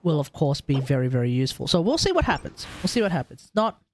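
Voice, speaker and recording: A man's voice: on the high side, big pitch swings, quick pace, a little mellow, a little hiss or hum. An Australian man chatting casually as a game live streamer, rambling and often rewording himself as he goes. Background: game music.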